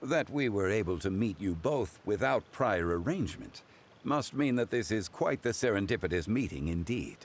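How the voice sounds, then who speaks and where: A lowish-voiced, refined gentleman from a video game. He speaks in a measured, formal way, composed and scholarly. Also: animated. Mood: courteous.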